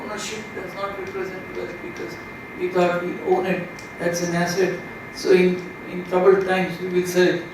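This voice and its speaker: Female conference panelist, reflective delivery, remote pickup with clear background noise. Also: on the low side, level tone, moderate pace. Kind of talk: reflective spontaneous explanation. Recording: very echoey, distant hall mic, noisy.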